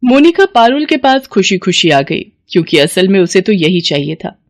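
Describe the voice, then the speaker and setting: A woman's voice: medium pitch, very animated, moderate pace, no echo. A woman reading scripted moral-story narration as the storyteller.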